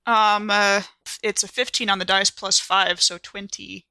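A woman's voice: mid-range voice, flat delivery, unhurried, background noise. A woman chatting casually as a player in a tabletop roleplaying game.

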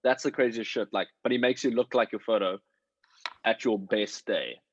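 A man's voice: lowish voice, normal speed, breathy edge, no room sound. Man in dull, dry casual chat. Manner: emphatic, slangy, peppered with swearing. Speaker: working actor, podcast participant giving advice.

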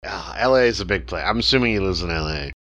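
A man's voice: sits low, expressive, fast, bright, forceful tone. A man guesting on a podcast, bantering casually and making jokes. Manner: joking.

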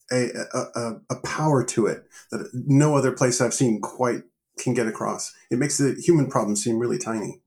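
Middle-aged American man, podcast guest, casual and chatty, mid-range voice. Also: medium pitch. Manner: relaxed, joking, frequent self-restarts. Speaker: guest, engineering leader. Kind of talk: casual interview talk.

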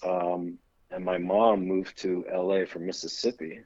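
A middle-aged man speaks in a low, breathy, husky voice. He tells loose, easygoing anecdotes as an interview guest. The recording is dry and a little lo-fi. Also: level tone, measured pace, darkish tone, no echo. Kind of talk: casual storytelling interview.

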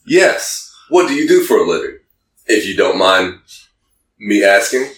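A man's voice: lowish voice, big pitch swings, measured pace, a bit bright. A man casually reading text messages aloud for comedy, stumbling a little through broken English in an amused way. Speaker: podcast host. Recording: roomy echo, distant from the mic.

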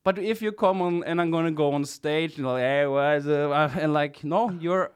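A man, a clown talking as a podcast guest in halting, casual conversation full of restarts. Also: a little high, smooth. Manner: halting, many restarts and fillers, teasing.

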